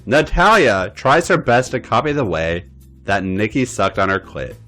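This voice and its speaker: Guy reading fanfic out loud on a podcast, super lively up and down voice, hammy, faint music behind. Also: fairly low pitch, moderate pace. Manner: playful, theatrical reading with lively swings. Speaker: podcast host reading fan fiction. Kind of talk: dramatic reading of erotic fan fiction.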